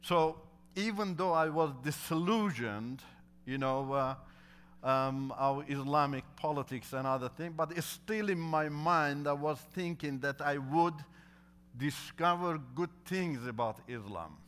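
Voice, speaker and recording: A man's voice: on the high side, a little slow, a bit bright, smooth. A middle-aged man whose first language is Turkish, giving an earnest testimony in non-native English that builds into emphatic preaching. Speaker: guest speaker telling his testimony. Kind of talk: testimony sermon.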